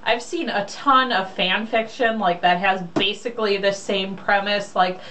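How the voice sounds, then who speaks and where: A woman's voice: medium pitch, steady tone, unhurried, pushed and bright. A woman chatting casually and reading aloud in a blunt, sarcastic, mocking way, swearing freely. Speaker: co-host reading a romance novel aloud. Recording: distant mic, faint background noise.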